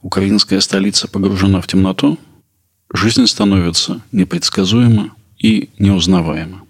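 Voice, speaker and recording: A man presenting a culture podcast in a narrating style, with a gravelly, husky voice. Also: sits low, steady tone, moderate pace. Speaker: podcast host.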